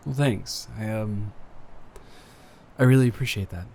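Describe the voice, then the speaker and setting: A young man playing an earnest, awkward college student smitten with a dormmate, rambling and halting in an intimate roleplay audio drama. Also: lowish voice, gravelly, a little mellow, slight background noise. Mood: tender, sincere. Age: young adult.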